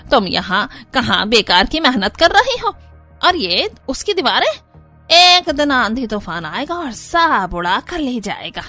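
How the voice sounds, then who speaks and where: A woman's voice: high-pitched, bright, forceful tone, heavily acted. A woman voicing a middle-aged village neighbour in a cartoon, nosy and mocking, with a scolding, exclamatory way of talking. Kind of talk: animated Hindi cartoon story acting.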